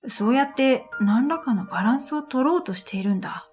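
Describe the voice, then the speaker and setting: A woman with a fairly high voice narrates an audio drama in the first person. Her delivery is scripted, reflective and measured. Music plays behind her voice. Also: animated, darkish tone. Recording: background music under the voice.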